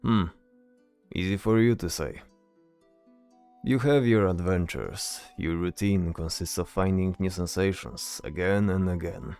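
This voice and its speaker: Man acting a low, brooding fantasy soldier. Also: unhurried, a little mellow, clean tone, faint music behind. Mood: resentful, weary. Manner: hesitant, solemn. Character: brooding, dutiful male soldier, weary and earnest. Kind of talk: fantasy audio drama acting.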